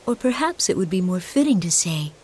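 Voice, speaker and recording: Mysterious game character, female, soft dark timbre, cryptic, formal, faint background noise. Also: fairly low pitch, very animated, fairly quick. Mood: enigmatic. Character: mysterious, knowing woman welcoming someone back. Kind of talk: dramatic video game cutscene acting.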